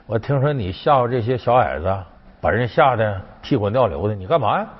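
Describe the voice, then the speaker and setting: A man's voice: fairly low pitch, animated, brisk, slightly rough, in a large hall, muffled recording. A man voicing a blustering emperor with a brusque, commanding, folksy manner in a comic history tale. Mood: amused scolding. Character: blustering Han emperor, startled yet amused male ruler.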